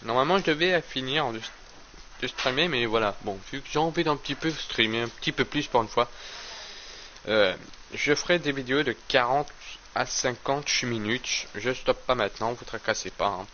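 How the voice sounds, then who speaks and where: Male game streamer, mid pitch, slightly hoarse from a cold, rambling stammering delivery; dull low-fi sound. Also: moderate pace, sharp tone, slight background noise. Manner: rambling, stammering with repeated words. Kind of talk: casual let's play commentary.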